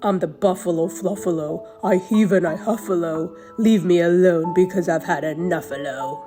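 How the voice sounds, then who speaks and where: A woman voicing a grumpy, snarly old male buffalo in a storybook, chanting gruffly. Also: lowish voice, steady tone, normal speed, music in the background, lightly acted. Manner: gruff, huffy, sing-song chant.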